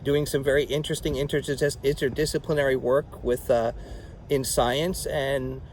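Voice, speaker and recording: A man hosting an interview outdoors in a garden, talking in a rambling, animated way. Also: medium pitch, moderate pace, a little hiss or hum. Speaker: show host. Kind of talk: conversational interview.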